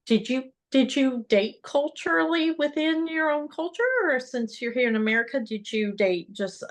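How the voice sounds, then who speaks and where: A woman hosting an interview podcast, asking gentle, encouraging questions. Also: high-pitched, moderate pace. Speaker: host.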